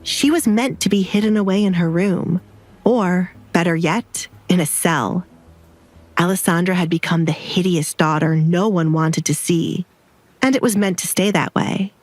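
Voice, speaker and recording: A woman's voice: fairly low pitch, unhurried, dry recording. A woman reading scripted dramatic fiction as an audiobook narrator, with music behind her.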